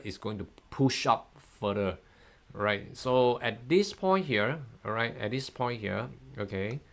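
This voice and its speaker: A man in his fifties, a native Mandarin speaker, running a live trading lesson in a chatty, upbeat way, often laughing and thanking viewers. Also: medium pitch. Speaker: forex trading educator. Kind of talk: live-stream chart analysis.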